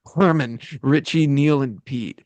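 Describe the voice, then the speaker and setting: A man reads stage directions briskly, slipping in jokes and swearing as he goes. His voice is mid-range and close, and it sounds dry, with no room around it. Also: mid-range voice, a little mellow, no echo. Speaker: writer reading stage directions. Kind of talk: casual table-read narration.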